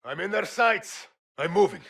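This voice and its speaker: Game combat callouts: urgent soldier, high-pitched, strained gravelly bark, radio effect. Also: male voice, animated, moderate pace, a bit bright, heavily acted. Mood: tense. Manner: urgent, clipped. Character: tense soldier calling out combat warnings.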